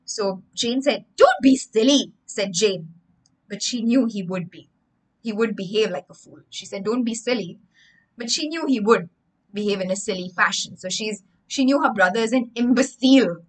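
A young woman, telling a story to children as a livestream English lesson, theatrical and emphatic, pausing to explain words. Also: mid-range voice, poor audio. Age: young adult. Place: indoor room with bookshelves.

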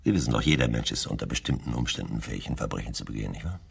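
A man acting as a shrewd chief inspector in a German radio crime drama, questioning in a deliberate, wry way. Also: rumbling low, animated, gravelly, breathy edge, exaggerated voice. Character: shrewd, probing male police chief inspector.

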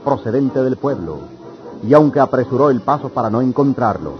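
A man's voice: mid-range voice, lively intonation, a little fast, close to an everyday voice. A man narrating a radio drama in a solemn, poetic, scripted style. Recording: dull old radio recording. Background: music.

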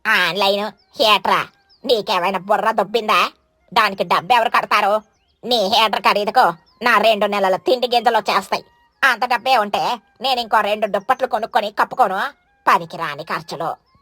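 A woman's voice: medium pitch, normal speed, sharp tone, dry recording, pitched below the performer's own voice. A woman voicing a lazy, grumpy, scornful mother crow in a children's cartoon story, grumbling and scolding. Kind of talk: animated children's moral story.

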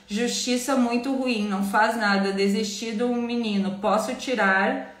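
A woman's voice: fairly high pitch, steady tone, a little slow, roomy sound. A woman, a family lawyer with a cold, answering viewer questions on a live stream in a chatty, simple way, often ending points with a tag question. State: has a cold, nearly voiceless. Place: home office with bookshelves, indoors.

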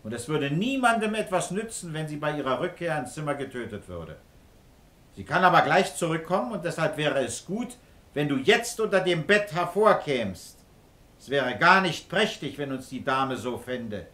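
A calm paternal detective in a radio drama, coaxing, pitch moves around a lot, bit echoey. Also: male voice, moderate pace. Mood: calm persuasion. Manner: paternal, patient, persuasive. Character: fatherly, calm middle-aged police commissioner, coaxing and probing. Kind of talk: German radio crime drama acting.

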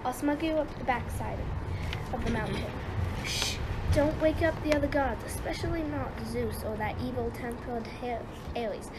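A woman's voice: a little high, normal speed, lightly acted. A woman playing a helpful, cautious goddess in a radio play, with a conspiratorial manner. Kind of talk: amateur radio play acting. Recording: very noisy amateur recording.

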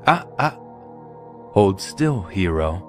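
A man voicing a menacing teenage bully leader with sneering, drawn-out taunts. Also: low-pitched, big pitch swings, soft background music, exaggerated voice.

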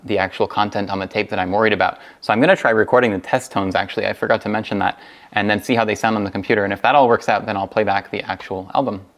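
A young man giving a casual hands-on tutorial, thinking aloud in a slightly hesitant way. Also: fairly low pitch. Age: young adult. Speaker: hobbyist host demonstrating tape transfer. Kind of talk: casual tutorial vlog talk. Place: small furnished rooms at home, indoors.

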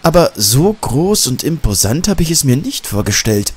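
A man's voice: mid-range voice, sing-song, moderate pace, breathy edge, voice pushed up. A man voicing a doubtful, insistent woman journalist with a mocking streak in an audiobook. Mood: impressed. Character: sceptical woman journalist, gently mocking and uneasy. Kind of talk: audiobook dialogue.

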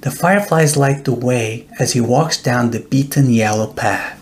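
A middle-aged man narrating a children's bedtime story in a deliberate, expressive storytelling way. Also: medium pitch, a little hiss or hum. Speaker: author reading own children's book.

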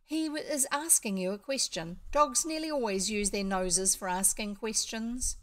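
A woman's voice: a little high, moderate pace, a bit bright, lightly acted. A woman voicing a clever, bossy female parrot in a storybook, speaking in an insistent, emphatic, instructive way.

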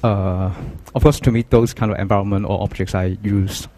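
A young man from Hong Kong with a Hong Kong accent, Cantonese being his first language, speaking as a guest artist in an interview at an art fair booth, halting and hesitant, on a handheld mic. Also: fairly low pitch, steady tone, a little hiss or hum. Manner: hesitant, halting, soft-spoken. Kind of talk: casual interview talk.

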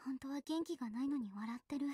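A woman's voice: high-pitched, fast talker, warm tone, dry recording, clean studio sound, close to an everyday voice. An actress playing a perceptive, caring teenage girl idol in a Japanese game, talking casually and directly with an earnest push. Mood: worried. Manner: casual, direct, emotionally pressing. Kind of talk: Japanese mobile game visual novel acting.

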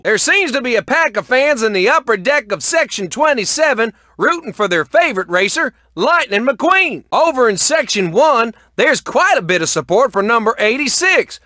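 A man playing an excitable, folksy race announcer in a cartoon racing video game, with showy sports commentary delivery. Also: very high voice, dry recording, clean studio sound, heavily acted. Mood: upbeat announcing.

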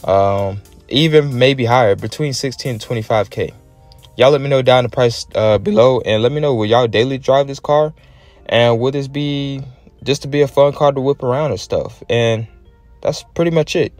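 A man's voice: medium pitch, normal speed, a little mellow, dry recording. An American man hosting a car channel, giving enthusiastic, slangy, casual commentary as a voice-over. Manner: enthusiastic, informal slangy talk. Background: background music.

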